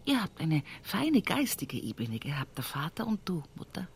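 A woman's voice: sits low, very animated, moderate pace, a little hiss or hum. An actress playing a wry country woman with a Bavarian accent, talking intimately to her mother in a radio play monologue. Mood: reflective, reminiscing. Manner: folksy, addresses her mother directly. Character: rural Upper Palatinate woman reminiscing at mother's bedside, wry.

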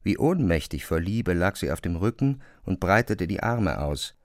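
A man narrating a literary radio drama in a measured, serious manner. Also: sits low, sing-song, no echo, studio quality.

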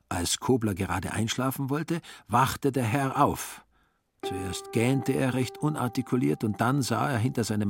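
A man's voice: fairly low pitch, breathy edge. A man narrating a literary story for a radio play in scripted, storytelling style.

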